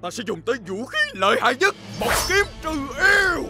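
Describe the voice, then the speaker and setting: Cartoon casting: pompous old exorcist, melodramatic and archaic, wildly swooping delivery, over music. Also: male voice, very high voice, sing-song, a little fast. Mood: frenzied, menacing. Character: old wandering exorcist man, theatrical, fanatical, pompous. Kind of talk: motion comic cartoon acting. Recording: music under the voice.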